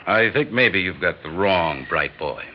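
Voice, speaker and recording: Deep-voiced sardonic American press agent. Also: male voice, expressive, a little slow, a little hiss or hum. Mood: defiant. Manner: sardonic, wisecracking. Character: cynical, slick middle-aged press agent. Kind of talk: classic radio drama acting. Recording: dull lo-fi radio drama recording.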